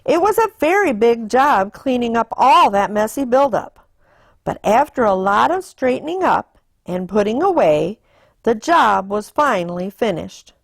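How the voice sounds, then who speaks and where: A woman reading a children's picture book aloud in an animated, deliberate storytelling manner. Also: fairly high pitch, vocal fry, dry recording. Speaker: storytime reader.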